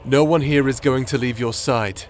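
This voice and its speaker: A man's voice: mid-range voice, steady tone, moderate pace, a little hiss or hum, natural voice. A man playing a conflicted, guilt-ridden strategist and devoted friend in a dramatic audio roleplay, with emotional delivery. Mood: reassuring, resolved.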